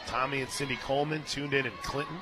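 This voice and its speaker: A man doing live radio play-by-play of a softball game, mixing pitch calls with folksy, joking asides. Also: fairly even intonation, fast, a crowd in the background. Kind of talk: live softball radio commentary with casual banter. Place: outdoor stadium press booth.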